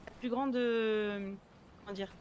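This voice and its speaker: Earnest young organiser, acted by a woman, high and hesitant, game roleplay, clear background noise. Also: fairly even intonation, a little mellow, close to an everyday voice. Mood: earnest, solemn. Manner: hesitant, halting address. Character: earnest young woman leading a peace march. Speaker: online roleplay player. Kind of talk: improvised in-character speech. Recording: noisy game ambience behind.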